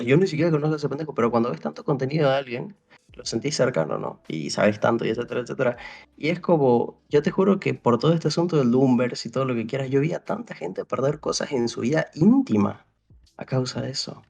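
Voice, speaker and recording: A man's voice: mid-range voice, vocal fry, darkish tone. A young man from Paraguay in casual conversation, telling a long story that wanders, with many restarts and "o sea", and acting out bits of dialogue. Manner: rambling, full of restarts and "o sea", re-enacts dialogue. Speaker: interview guest.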